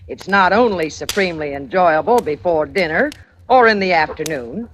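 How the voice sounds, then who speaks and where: Genteel woman in a vintage radio advert. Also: medium pitch. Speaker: sponsor's hospitality spokeswoman. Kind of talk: 1940s scripted radio advertisement. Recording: noisy old radio broadcast.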